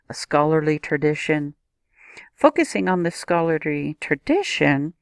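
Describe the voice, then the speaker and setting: A woman's voice: sits low, no room sound, clean studio sound. A woman teaching a recorded video lesson, explaining step by step and speaking directly to the viewer. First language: not a native Japanese speaker.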